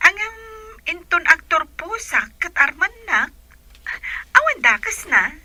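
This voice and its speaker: A playful village older sister with an Ilocano accent. Her high voice is strikingly sharp and forward, in the manner of a radio comedy drama. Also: sing-song, unhurried, full character voice.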